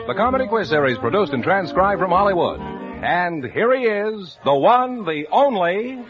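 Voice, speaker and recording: Formal old-time radio announcer, man, lively delivery, lo-fi sound. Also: normal speed, a crowd in the background. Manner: booming, showy introductions. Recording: dull old radio broadcast with music. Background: music.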